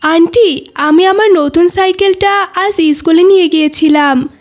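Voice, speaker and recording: A woman's voice: very high voice, measured pace. A woman voicing a polite, dutiful schoolboy in a cartoon, explaining things earnestly. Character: dutiful, polite, well-behaved schoolboy friend. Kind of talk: cartoon dialogue.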